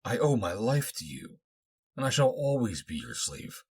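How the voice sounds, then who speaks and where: A man's voice: mid-range voice, sing-song, normal speed, a little mellow, slightly rough, voice pushed up. A man voicing a devoted, emotional young woman freed from captivity, speaking fervently in formal, old-fashioned phrases for an adventure story. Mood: devoted, submissive.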